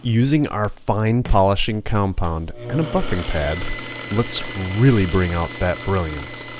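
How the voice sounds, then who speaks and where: A man's voice: deep voice, animated, measured pace, over music. A man delivering scripted instructional narration with polished, formal phrasing. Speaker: narrator of a restoration tutorial.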